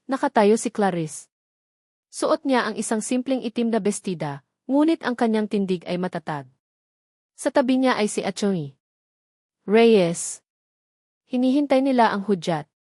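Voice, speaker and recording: A woman's voice: a little high, expressive, moderate pace, pauses often, warm tone, no room sound, clean studio sound. A woman reading a scripted dramatic story as its narrator.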